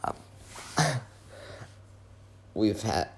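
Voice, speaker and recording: Young man, hoarse strained voice, very low-pitched, rambling casual commentary, lots of fillers. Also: sing-song, very slow. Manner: rambling, self-correcting, many fillers. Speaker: wrestling video host. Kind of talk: casual fantasy-booking commentary.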